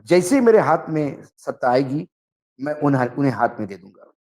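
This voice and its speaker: A man giving political commentary, speaking emphatically and deliberately in short phrases as he recounts dialogue. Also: fairly high pitch, very animated, darkish tone. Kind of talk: political commentary, narrating and translating a reported conversation.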